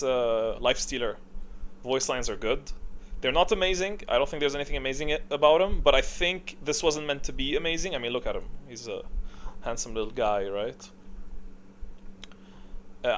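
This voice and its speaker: Guy chatting casually about game stuff, mid pitch, rambles and corrects himself, bit of background noise. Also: a bit bright. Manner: rambling, thinking aloud, self-correcting. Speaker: video creator and gamer. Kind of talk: casual off-the-cuff commentary ranking game characters.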